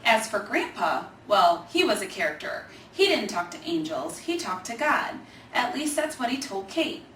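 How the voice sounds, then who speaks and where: A woman with a fairly high voice reads a children's book aloud. Her delivery is measured and expressive, stressing key phrases. She sounds a little away from the mic in an echoey room with faint noise. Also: recorded from a distance. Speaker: Alzheimer's organization staffer reading a children's book. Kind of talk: scripted storybook reading.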